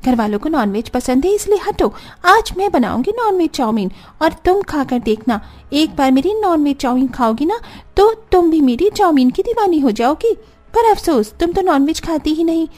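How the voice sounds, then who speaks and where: A woman acts out a stubborn young daughter-in-law in a family cartoon. The voice sits very high yet has a soft, mellow tone. The delivery is dramatic and emphatic, that of a jealous, boastful woman who talks to herself. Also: big pitch swings, normal speed. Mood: boastful, sweetly condescending. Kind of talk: Hindi cartoon story dialogue.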